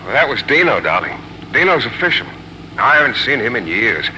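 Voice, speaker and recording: An actor playing a troubled, wry, affectionate husband in a 1940s radio drama, hesitant and self-mocking. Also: male voice, medium pitch, sing-song, a little fast, sharp tone. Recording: noisy, humming 1940s radio broadcast.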